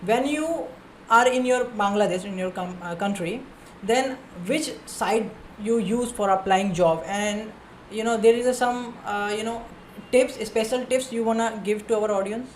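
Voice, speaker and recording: A woman's voice: fairly high pitch, unhurried, a little mellow, roomy sound, slight background noise, poor audio. A woman hosting an interview podcast, speaking informally and giving frequent short murmurs of agreement. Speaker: podcast host.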